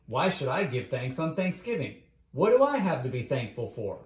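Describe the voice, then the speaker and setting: A man's voice: mid-range voice, sing-song, normal speed, natural voice. A man voicing a gloomy, wishy-washy young boy who sighs, hesitates and complains in a read-aloud storybook, in a roomy recording.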